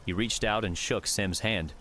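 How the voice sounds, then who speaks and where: A man's voice: fairly low pitch, normal speed, pushed and bright, a little hiss or hum. A man reading scripted audiobook narration as the storyteller.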